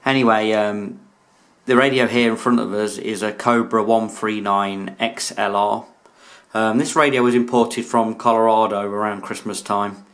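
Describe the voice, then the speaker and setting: A British man with a slightly low voice talks through a piece of hobby gear. His delivery is casual and halting, as in a homemade showcase video recorded indoors. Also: level tone. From United Kingdom. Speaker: radio hobbyist presenting a video. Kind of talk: casual product showcase narration. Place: indoors in a room.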